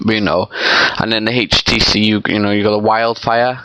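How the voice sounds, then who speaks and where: A man's voice: on the low side, level tone, moderate pace, pushed and bright, clear, resonant tone, no echo, poor audio. A young man with a stuffy, congested nose, rambling casually in a vlog with lots of ums and you-knows. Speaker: vlogger. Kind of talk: casual unscripted opinion vlog.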